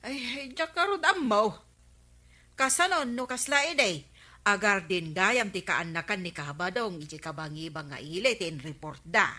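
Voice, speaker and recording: A woman's voice: medium pitch, animated, moderate pace, bright, forceful tone. A woman with an Ilocano Filipino accent playing an exasperated village councilwoman in a radio comedy drama. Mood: complaining, confiding.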